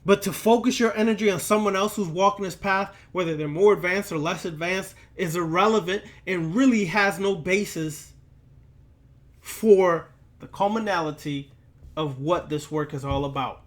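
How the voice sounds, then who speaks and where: A man's voice: sits high, normal speed. A man lecturing in an emphatic, preachy manner, speaking straight to the listener and repeating words and phrases for weight. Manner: preachy, admonishing, addresses listener directly. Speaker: occult lecturer and teacher. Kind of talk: impromptu sermon-like lecture to camera.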